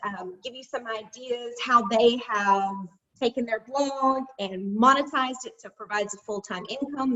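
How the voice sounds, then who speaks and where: A woman hosts an interview with bright, quick questions. Her voice is high, and it sounds distant and slightly dull, like remote audio. She keeps the conversation moving. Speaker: host, financial consultant. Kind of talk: interview hosting.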